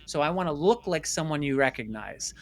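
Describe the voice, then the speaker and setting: A man's voice: a little high, lively intonation, fairly quick. A man speaking as an interview guest in a conversational storytelling style, emphatic and repeating phrases for stress. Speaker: interview guest, filmmaker and former stage actor.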